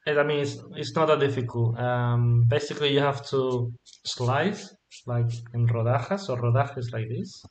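A man's voice: mid-range voice, moderate pace, few pauses, reverberant, rough recording. A man from Spain whose first language is Spanish, tutoring a student online in English with patient explanations and examples. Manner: explains patiently, gives examples, checks understanding.

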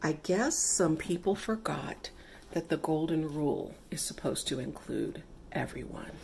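A middle-aged woman reads a children's story aloud at an unhurried pace. Her voice sits a little low and rises and falls widely, with careful, expressive phrasing aimed at young listeners. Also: creaky, a little hiss or hum. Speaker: author reading own picture book.

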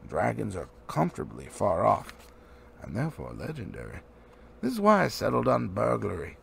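Man playing a gravelly, wise old wizard. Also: fairly low pitch, heavily acted. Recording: faint background noise.

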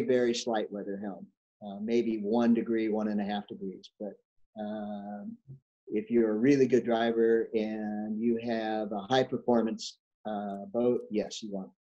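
Older male coach, unhurried and warm-toned, terse answers, muffled call audio. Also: lowish voice, fairly even intonation. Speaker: guest sailing coach. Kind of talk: answering questions in an online seminar. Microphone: video call.

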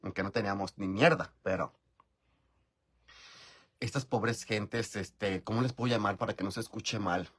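A middle-aged Mexican man with a lowish, slightly husky voice tells a long, meandering story, full of fillers and casual swearing. He talks straight into a close phone camera in a room at home. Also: normal speed, pauses often, fairly bright tone. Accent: Mexican, from Michoacán. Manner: chatty, rambling, frequent fillers, swears casually. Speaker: drag performer vlogger. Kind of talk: casual storytelling while doing makeup. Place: bedroom at home, indoors.

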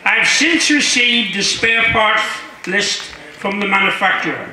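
Older guy in his 60s reading a letter out for laughs, high piercing voice, super echoey pa. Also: background noise. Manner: jovial, chuckling, halting. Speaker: guest reader. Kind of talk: humorous letter reading with asides to an audience. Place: large marquee tent with seated audience. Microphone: handheld mic through speakers, filmed from audience. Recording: very echoey PA in tent.

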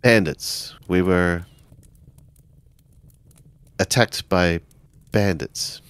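A man narrating his character's actions in a tabletop role-playing game, halting as he searches for words. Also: low voice, a bit bright, clean tone, dry recording.

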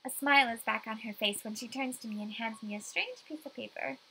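A woman's voice: on the high side, a little fast, bright, forceful tone, breathy edge, rough recording. A young woman reading a visual novel aloud as dramatic first-person story narration.

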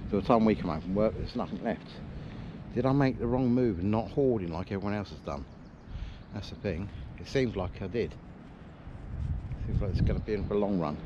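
A man's voice: lowish voice, fairly quick, lo-fi sound. Matey man, noisy windy recording. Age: middle-aged. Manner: informal, colloquial, matey. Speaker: metal detecting vlogger. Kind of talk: casual vlog chat and commentary. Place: open field outdoors. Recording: windy outdoor noise on action camera.

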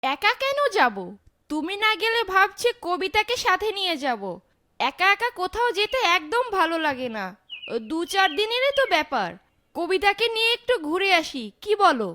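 A woman voicing a caring village wife and mother in a fairytale cartoon, polite and expressive. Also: very high-pitched, moderate pace, heavily acted. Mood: concerned.

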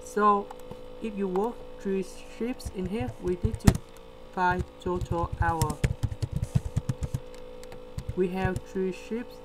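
Brief: male tutor, slow deliberate walkthrough, mains hum under the voice. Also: sits high. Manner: slow, step-by-step explaining with pauses. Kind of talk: screen-recorded math lesson. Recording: steady electrical hum behind.

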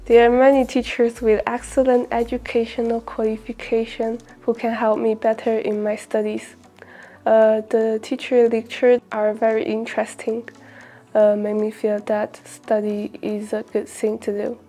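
A woman's voice: a little high, level tone, normal speed, dark tone. A teenage girl from China giving a careful, prepared self-introduction as a student, recorded on a lapel mic on a studio set. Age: teens.

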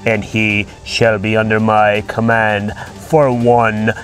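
A pompous villain warlord gloats in a low, slow voice, breaking into booming ho-ho laughs and talking about himself in the third person, as a man puts him on during toy play. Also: level tone, fairly bright tone. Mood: gloating.

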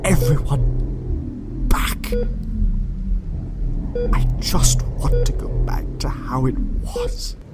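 An actor playing a weary, regretful and menacing man in an audio drama, halting and often near a whisper. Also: huge pitch swings, exaggerated voice. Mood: anguished regret. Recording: loud music and rumble over voice.

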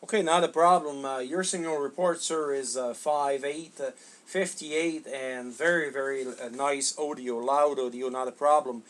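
A middle-aged man making casual ham radio contact, halting and repeating words as he goes. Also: medium pitch, fairly even intonation. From Canada. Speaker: amateur radio operator. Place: small home radio room, indoors.

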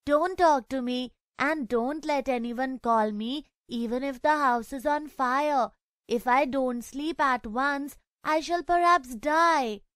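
A man's voice: very high-pitched, takes it slowly, fairly bright tone, smooth, no echo, voice pushed up, full character voice. A man voicing a frightened young schoolboy who pleads anxiously with his father. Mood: pleading.

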